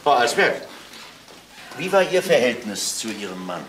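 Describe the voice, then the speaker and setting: An actor playing a courteous, matter-of-fact police inspector in a crime drama, asking probing questions in a businesslike way. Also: male voice, mid-range voice, sing-song, a little slow, fairly bright tone. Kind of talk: German crime drama acting. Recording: echoey room, clearly noisy old soundtrack.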